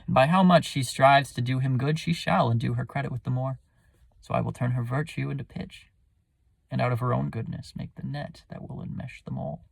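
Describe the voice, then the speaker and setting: A man playing a smooth, scheming villain in a theatrical, deliberate Shakespearean soliloquy. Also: mid-range voice, dry recording. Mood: gloating, sinister. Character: scheming, smooth male villain plotting ruin.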